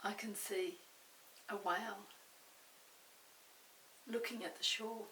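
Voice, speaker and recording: An older woman reading a picture book aloud for small children, pausing to describe pictures and ask questions. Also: mid-range voice, fairly even intonation, pushed and bright. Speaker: storyteller. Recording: roomy, distant mic, faint hiss.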